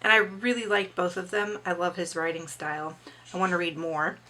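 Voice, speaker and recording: A middle-aged woman with a slightly hoarse voice, chatting casually about upcoming books and reading their blurbs aloud. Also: on the low side, fairly even intonation, moderate pace, bright, forceful tone, rough recording. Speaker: book vlogger.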